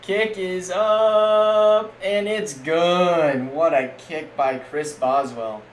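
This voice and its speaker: A man's voice: sits high, steady tone, very slow. Young man calling game plays on stream. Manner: casual, jokey play-by-play that spikes loud on big plays. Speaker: streamer doing play-by-play of a simulated football league. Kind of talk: live-stream game commentary. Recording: echoey room with faint background noise.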